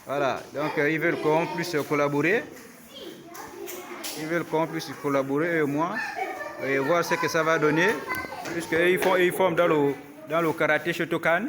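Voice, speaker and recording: A man coaching self-defense, speaking casually and haltingly to his followers from a karate dojo and repeating words as he goes. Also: on the high side. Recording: loud crowd noise behind. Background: crowd of young students.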